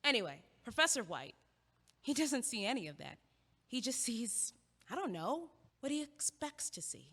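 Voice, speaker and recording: A woman playing a sharp, guarded, quick-witted young college student in a naturalistic comedic audio play. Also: fairly high pitch, sing-song, slightly rough, heavily acted. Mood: guarded, insistent. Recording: echoey hallway-like reverb.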